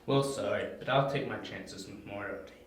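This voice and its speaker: A man's voice: lowish voice, normal speed, natural voice. A man playing a smug, menacing henchman, taunting and curt, in an amateur audio drama. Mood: dismissive. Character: smug male henchman villain, menacing and dismissive. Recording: very echoey, noisy amateur recording.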